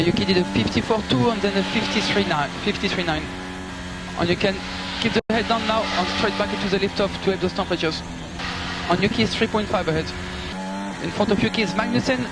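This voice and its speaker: A man working as a race engineer, giving clipped, procedural instructions and updates over team radio. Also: high voice. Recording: very noisy car team radio transmission. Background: loud car noise.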